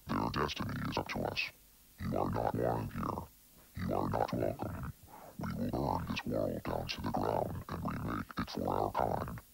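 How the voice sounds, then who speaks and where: Super low croaky monster hive voice, raspy as hell, threatening, analog horror. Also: male voice, exaggerated voice, low-quality recording. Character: menacing inhuman hive voice claiming the earth. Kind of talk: analog horror broadcast monologue.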